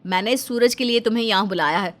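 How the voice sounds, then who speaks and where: Woman acting an exasperated, high-pitched city sister-in-law. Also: fast, lightly acted. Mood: brisk, impatient. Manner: scolding, bossy, emphatic. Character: exasperated, scornful urban working elder sister-in-law. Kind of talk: animated Hindi family story acting.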